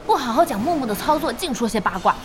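A woman playing a feisty, blunt young esports fan in an audio drama, outspoken and quick to complain. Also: a little high, lively intonation, music in the background, lightly acted. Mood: exasperated. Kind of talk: Chinese audio drama acting.

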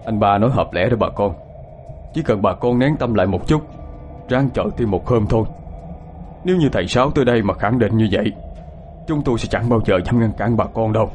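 A man's voice: lowish voice, fairly quick, long pauses, darkish tone, faint music behind, natural voice. A man voicing a middle-aged village uncle who appeals persuasively to the crowd. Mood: reasoning.

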